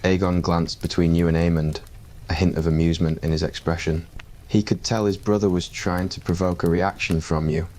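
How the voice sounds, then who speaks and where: A man narrating an ASMR roleplay story in a calm, even storytelling voice. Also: low voice, fairly quick.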